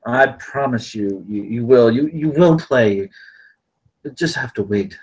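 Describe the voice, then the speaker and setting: A male actor as a gruff working father with a rough, husky voice. His pitch moves a great deal as he speaks in curt, stammering bursts. It is dramatic script-reading, full of irritation and stress. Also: animated, a little slow, darkish tone, low-quality recording. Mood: pleading, reassuring. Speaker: actor at a table read. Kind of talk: live script table read.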